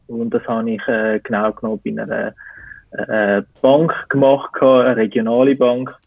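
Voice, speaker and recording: A man's voice: medium pitch, level tone, normal speed, creaky, no room sound. A man in his twenties with a Zurich Swiss German accent, answering interview questions and searching for words as he goes. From Switzerland. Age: 20s. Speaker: interview guest. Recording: tinny phone-like call audio.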